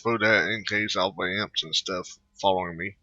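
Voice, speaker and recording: Bright, forceful low-voiced man commentating casually. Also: fairly even intonation, normal speed, no room sound, dull audio. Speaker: gameplay commentator. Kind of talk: casual off-the-cuff gaming commentary.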